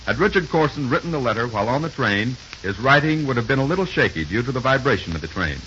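A man's voice: medium pitch, expressive, quick pace, pushed and bright. A man doing scripted 1930s radio announcing in a dramatic, formal, declamatory manner. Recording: hissy, muffled 1930s radio recording.

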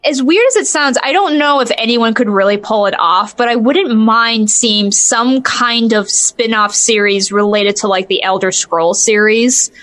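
A woman with a fairly high voice talks casually as a podcast co-host. She is giggly and often lets laughter into her sentences. The delivery is conversational and unscripted. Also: measured pace, hardly any pauses, fairly bright tone. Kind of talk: casual chat about games and films.

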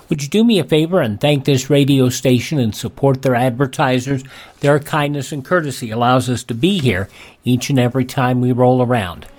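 A man's voice: a little high, darkish tone. A man hosting a radio program, addressing listeners in a scripted sign-off style. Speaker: radio show host.

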